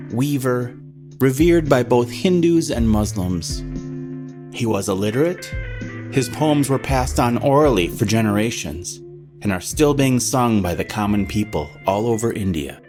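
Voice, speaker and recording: A man's voice: medium pitch, animated, measured pace. A man delivering scripted introductory narration for a spiritual poetry series. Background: plucked guitar music.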